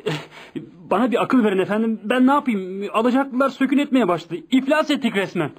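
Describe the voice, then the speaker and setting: An actor playing a flustered, helpless office clerk in a radio play, stammering frantically and deferentially. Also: male voice, very high-pitched, quick pace, breathy edge. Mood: panicked, pleading. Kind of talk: radio theatre acting.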